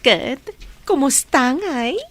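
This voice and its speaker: A woman's voice: high voice, expressive, takes it slowly, exaggerated voice. A woman playing a playful, teasing best friend in a radio drama, with an Ilocano accent.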